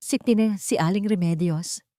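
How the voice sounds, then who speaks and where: A woman's voice: medium pitch, measured pace, dark tone, no echo, studio quality, voice pushed up, lightly acted. A woman voicing a shaken, bitter male villain in an audio drama, exclaiming his lines. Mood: stunned. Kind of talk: dramatic audio story dialogue.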